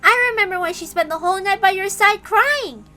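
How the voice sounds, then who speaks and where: A woman voicing a small, childlike stuffed animal who gently consoles a friend in a story reading. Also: extremely high pitch, brisk. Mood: tender, pleading. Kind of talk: dramatic reading of a visual novel.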